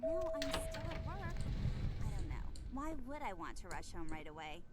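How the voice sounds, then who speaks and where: An actress as lively, sing-song restaurant hostess. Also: female voice, mid-range voice, moderate pace, natural voice. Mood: casual, bickering. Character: chatty young restaurant hostess on a personal call. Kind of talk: video game voice acting.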